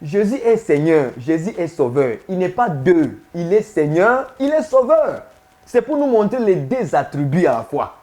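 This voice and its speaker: A man's voice: high voice, sing-song, a little slow, a little mellow. A man preaching a sermon, emphatic and repetitive, often putting questions to his listeners. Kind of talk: sermon teaching to viewers.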